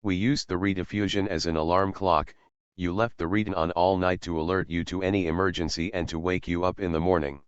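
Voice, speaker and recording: A man's voice: deep voice, fairly even intonation, brisk, clear, resonant tone, no echo. A man reading a scripted narration about local history as a narrator. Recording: dry but dull, lo-fi sound.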